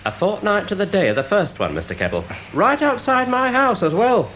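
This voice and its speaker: A man's voice: high-pitched, big pitch swings, brisk, muffled recording. An actor playing a pompous, wordy small-town busybody who earnestly sets out his theories in a radio drama. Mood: relishing, shocked. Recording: old radio recording with faint noise.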